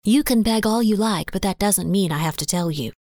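A woman's voice: medium pitch, a little fast, darkish tone, dry recording, clean studio sound, natural voice. A woman voicing a petite, defiant and evasive young woman in an audiobook story. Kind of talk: audiobook character dialogue.

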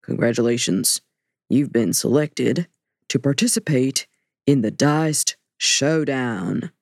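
A woman voicing a brisk, upbeat middle-aged male TV producer with a hearty baritone. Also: animated, a little mellow, no room sound, studio quality, exaggerated voice. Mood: businesslike. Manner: businesslike, upbeat. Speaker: audiobook narrator voicing a character.

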